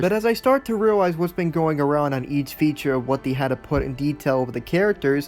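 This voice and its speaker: A man's voice: fairly high pitch, a little mellow. A man hosting a video essay, reading scripted countdown narration in wordy, awkward phrasing.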